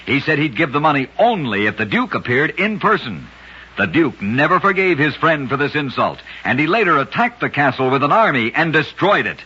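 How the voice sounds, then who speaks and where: Man, old-time radio narrator, husky, bright, lively intonation, muffled vintage recording. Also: a little high. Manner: brisk, dramatic storytelling cadence. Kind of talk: scripted radio narration of curious facts.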